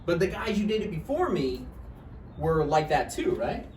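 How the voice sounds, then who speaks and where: A man's voice: on the high side, very animated, a little slow, darkish tone. A man playing Roger, an awkward, needy struggling writer still hung up on his ex, in a hesitant, conversational live table read of a play. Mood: sheepish, probing. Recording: roomy, distant mic, noisy live recording.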